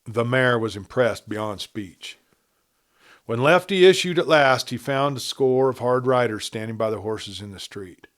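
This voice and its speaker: A man's voice: mid-range voice, a little slow, fairly bright tone. A man reading a western story aloud as a scripted narrator. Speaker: audiobook narrator.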